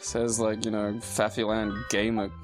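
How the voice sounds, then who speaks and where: A man's voice: fairly low pitch, completely flat delivery, normal speed. Laughing, joking Australian young man. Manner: laughs often, crude joking asides. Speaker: let's play commentator. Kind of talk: casual gaming banter. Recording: faint game music behind.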